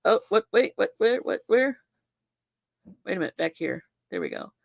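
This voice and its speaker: A woman's voice: medium pitch, wildly swooping pitch, moderate pace, gravelly, no echo, dull audio. A woman in her sixties co-hosting a casual chat, repeating catchphrases and laughing often in playful banter. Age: 60s. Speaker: co-host. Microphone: desk microphone.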